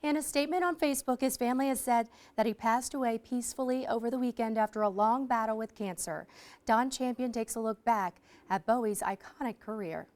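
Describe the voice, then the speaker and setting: A woman news anchor reading news in a crisp, formal way. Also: on the high side, fairly quick, a little mellow.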